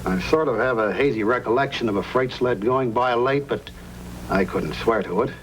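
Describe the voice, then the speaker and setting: A male actor as a folksy, crafty storekeeper of the frontier. He speaks at a middling pitch in old-time radio style, with background noise on the vintage recording. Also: moderate pace, natural voice. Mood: evasive. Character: folksy fatherly frontier storekeeper who is secretly a crafty outlaw.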